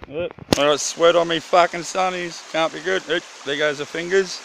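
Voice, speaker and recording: A man's voice: a little high, steady tone, normal speed. An Australian man giving a casual, slangy, joking camcorder-style tour outdoors, swearing freely as he goes. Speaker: camper filming a campsite tour. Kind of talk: casual handheld video tour. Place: outdoors at a bush campsite. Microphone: handheld phone or camera. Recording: outdoor handheld recording with clear background noise.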